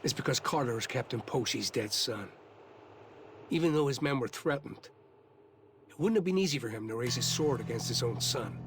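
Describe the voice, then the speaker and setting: An actor playing an earnest, courteous soldier in a fantasy video game, speaking formally. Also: male voice, mid-range voice, lively intonation, normal speed, fairly bright tone, a little hiss or hum, natural voice. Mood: somber. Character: earnest, grateful male soldier of a fantasy guard. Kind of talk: video game cutscene acting. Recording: voice over game music.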